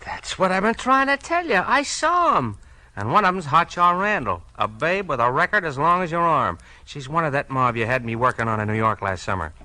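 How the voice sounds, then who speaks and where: A man's voice: on the high side, very animated, a little fast, pushed and bright, no room sound, exaggerated voice. An actor playing an eager, folksy American small-town policeman in a 1940s radio mystery, slangy and full of exclamations. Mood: excited. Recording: vintage 1940s radio recording.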